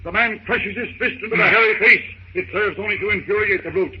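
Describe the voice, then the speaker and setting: A man's voice: high voice, a little fast. A man narrating a scripted old radio drama in a dramatic, suspenseful, declamatory manner, on a muffled, very noisy recording.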